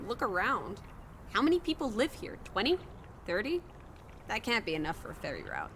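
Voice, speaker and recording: A woman's voice: medium pitch, wildly swooping pitch, moderate pace, bright, forceful tone, slight background noise, exaggerated voice. A woman voicing a sociable, playful young travel companion in a video game, with a breezy, wry, mock-dramatic way of talking. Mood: curious, pressing.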